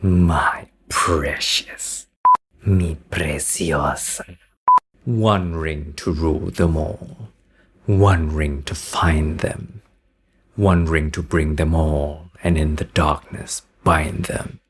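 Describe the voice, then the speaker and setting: A man voicing a creepy creature obsessed with a magic ring, drawing the words out slowly and ominously. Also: extremely low pitch, steady tone, breathy edge, pitched below the performer's own voice, full character voice. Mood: menacing reverence. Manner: slow, drawn-out, ominous.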